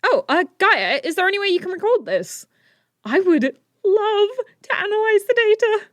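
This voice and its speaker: A woman's voice: very high-pitched, sing-song, normal speed, dry recording, studio quality. A woman playing a confident, flippant teenage inventor superhero in an audio drama, breezy and wry. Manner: breezy, self-assured, wry.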